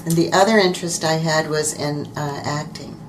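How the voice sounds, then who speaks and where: An older woman with a deep, low voice tells stories from her life in an easy, chatty way. She is a guest in a radio studio, and a faint hiss sits behind her. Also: moderate pace. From Virginia, USA. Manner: relaxed, chatty storytelling with soft laughs. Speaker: interview guest, radio producer. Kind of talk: casual interview chat.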